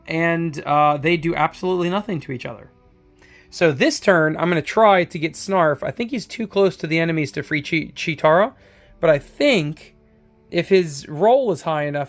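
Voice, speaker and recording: Hoarse man recapping a tabletop battle. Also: a little high, fairly bright tone. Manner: rambling play-by-play with many fillers. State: hoarse, getting over a cold. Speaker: independent game designer narrating a battle report.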